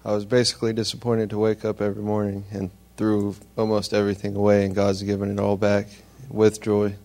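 A man from Tennessee, giving a quick, plain personal testimony. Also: on the low side, monotone, a little mellow.

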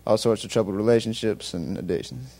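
A man, giving a short personal testimony in a plain, soft-spoken way. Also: fairly low pitch, brisk, a little hiss or hum. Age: 20s.

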